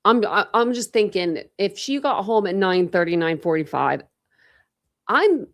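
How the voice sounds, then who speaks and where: A woman's voice: mid-range voice, animated, measured pace. A woman in her forties hosting a casual true crime livestream, thinking aloud and rambling, with a slight lisp from a dental retainer. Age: 40s. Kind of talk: casual livestream chat with viewers.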